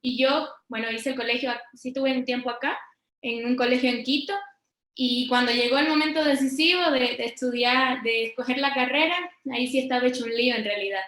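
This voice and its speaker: A woman's voice: a little high, fairly even intonation, a little fast, a bit bright. A woman from Cuba answering interview questions informally, explaining things directly to her listeners as a scientist guest. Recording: roomy, echoing sound.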